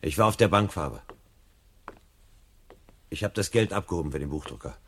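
A man's voice: low voice, animated, a little fast, a little hiss or hum. An actor playing a troubled, searching fireman in a 1970 radio play, earnest and brooding in manner. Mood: dejected. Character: troubled, searching fireman, lonely and eager for conviction.

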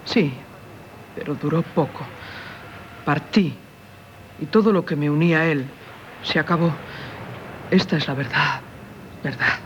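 A woman's voice: sits low, unhurried, pauses often. An actress with a Castilian Spanish accent playing a tormented married woman haunted by a former lover, in solemn, confessional radio theatre style. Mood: grave, confessional. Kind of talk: 1970s radio theatre acting. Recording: dull, noisy 1970s radio recording.

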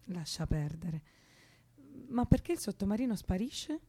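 Radio drama: anguished young female insomniac, bleak and contrary, natural medium pitch, clipped replies. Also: big pitch swings, measured pace, dark tone, breathy edge. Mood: weary, bleak. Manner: despondent, contrary, terse. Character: anguished, sleepless young woman haunted by heavy thoughts. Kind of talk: Italian radio drama acting.

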